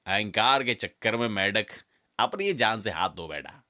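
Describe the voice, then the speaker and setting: A man's voice: fairly low pitch, expressive, moderate pace, pushed and bright. A man narrating a scripted children's fable as a storyteller.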